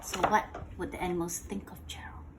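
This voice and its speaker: A woman reading a picture book aloud to a child in an animated way, pausing to ask questions and repeating phrases. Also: lowish voice, heavy background noise. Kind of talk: interactive bedtime read-aloud.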